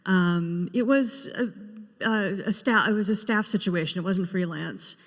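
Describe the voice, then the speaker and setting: A woman's voice: medium pitch, a little slow. Woman reminiscing in an echoing hall. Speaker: television writer, panel guest. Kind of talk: casual panel recollection. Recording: echoey hall with long reverb.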